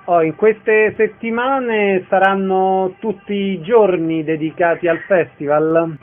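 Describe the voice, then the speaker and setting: A man's voice: sits high, steady tone, takes it slowly, soft background music. A man presenting and asking questions as a radio interviewer, with a phone-like sound.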